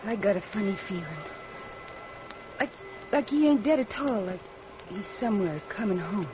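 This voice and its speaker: A woman's voice: medium pitch, expressive, moderate pace, close to an everyday voice. A woman acting in an old-time radio drama as an anxious, guilty rural woman with fretful, folksy speech. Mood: worried. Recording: muffled, noisy old radio recording.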